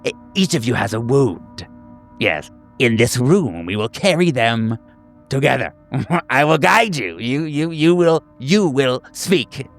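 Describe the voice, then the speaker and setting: A man voicing a fawning, sinisterly earnest group therapy doctor in a tabletop game, halting and repeating himself emphatically with nervous chuckles. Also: mid-range voice, animated, faint music behind, pitched above the performer's own voice. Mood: earnest, solemn. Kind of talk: theatrical group-session address.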